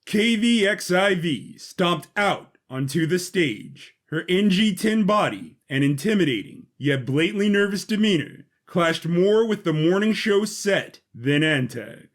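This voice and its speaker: Male narrator for a science-fiction audio story, pitched a little above average, reading scripted prose. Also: animated, measured pace, hardly any pauses.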